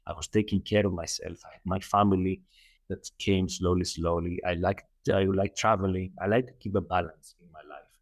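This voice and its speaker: Low-voiced Greek-accented man, earnest. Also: fairly even intonation, a little fast, no room sound. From Greece. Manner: earnest, repeats phrases, many fillers. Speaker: guest, holistic coach. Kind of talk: interview answers.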